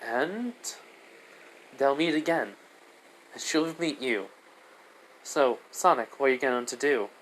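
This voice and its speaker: Man playing calm mystical guide woman Tikal, noisy recording. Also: mid-range voice, takes it slowly, pauses often. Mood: explaining. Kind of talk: amateur character voice in improvised storytelling.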